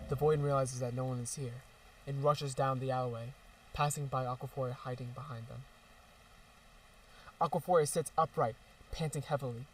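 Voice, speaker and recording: A man narrating a fantasy audio drama in a steady storybook manner. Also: medium pitch, recorded from a distance, slight background noise, poor audio. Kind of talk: scripted narration.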